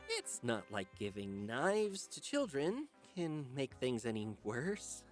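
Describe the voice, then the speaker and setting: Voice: mid-range voice, very animated, slow. An actor voicing a weary, befuddled male schoolteacher in a visual novel, dry and hesitant. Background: faint music.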